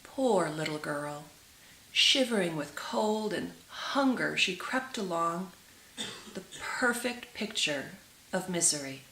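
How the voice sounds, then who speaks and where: A woman reading a storybook aloud for children as a storyteller, with dramatic, drawn-out emphasis. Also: on the low side, lively intonation, sharp tone. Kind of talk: expressive storybook read-aloud for children, with brief asides. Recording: roomy echo with clear hiss.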